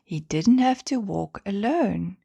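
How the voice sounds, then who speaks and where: A woman narrating a children's bedtime story in a gentle, expressive manner. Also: mid-range voice, slow, vocal fry, slightly rough, dry recording.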